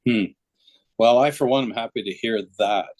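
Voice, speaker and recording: A man's voice: mid-range voice, moderate pace, a little mellow. A man hosting a podcast, introducing the discussion and interviewing a guest. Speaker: podcast host.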